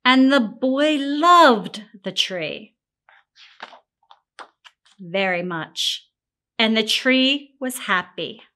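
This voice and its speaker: Slow, animated woman reading a storybook. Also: a little high. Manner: expressive, deliberate. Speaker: storybook reader. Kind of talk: scripted children's story narration.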